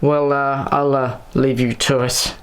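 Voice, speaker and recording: An easygoing young guy, the joking flatmate of an audiobook story, played by a male narrator. He talks in a casual, laid-back way at a medium pitch. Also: a little slow, clear, resonant tone, close to an everyday voice.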